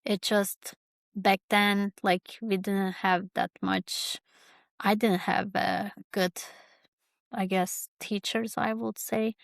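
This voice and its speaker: Halting woman with a creaky, even voice. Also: medium pitch, dry recording. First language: Kurdish. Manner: halting, searching for words. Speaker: podcast host. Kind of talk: personal reflective monologue.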